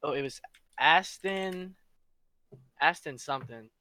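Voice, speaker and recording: Teen boy guest, bright edgy voice, mid pitch, dry close mic, loose and jokey. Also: takes it slowly, no room sound. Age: teens. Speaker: interview guest. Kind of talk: casual chat.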